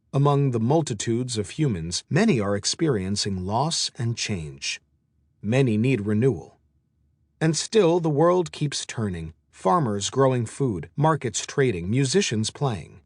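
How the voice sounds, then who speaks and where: A man narrates a mindfulness audiobook in a middle-pitched voice that moves with expressive rises and falls. His phrasing is measured, with deliberate pauses between thoughts. The recording is close and dry, with no room sound. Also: no echo. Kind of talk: scripted audiobook narration of a meditation and self-help book.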